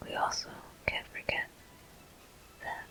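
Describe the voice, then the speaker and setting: A gaming host giving gentle, soothing ASMR commentary in an unhurried, breathy, unvoiced whisper. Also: poor audio.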